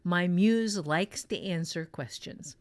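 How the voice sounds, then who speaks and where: A woman teaching fiction writing in a casual podcast conversation, explaining with deliberate emphasis. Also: fairly low pitch, dry recording. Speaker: author and writing teacher co-hosting.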